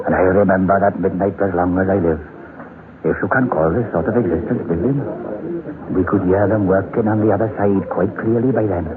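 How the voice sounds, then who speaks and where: Deep-voiced haunted mine survivor, acted by a man. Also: fairly quick, lightly acted. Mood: haunted, grim. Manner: brooding, dramatic storytelling. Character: haunted mine cave-in survivor telling his tale, unhinged. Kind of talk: old-time radio drama acting. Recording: noisy lo-fi old radio broadcast.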